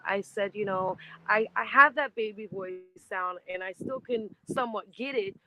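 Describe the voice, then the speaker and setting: A woman in her fifties, a singer being interviewed, chatting in an animated way and laughing easily. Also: medium pitch, normal speed, rough recording. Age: 50s. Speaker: guest singer. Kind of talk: casual interview chat.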